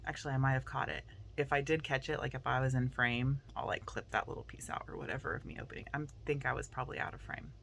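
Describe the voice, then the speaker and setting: Chatty Southern woman, gravelly voice. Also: very deep voice, fairly even intonation, fast talker, hardly any pauses, fairly bright tone, slight background noise. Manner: chatty, rambling, with fillers. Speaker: vlogger and teacher. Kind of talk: casual vlog chat to camera. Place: outside by her car at school, then indoors at night.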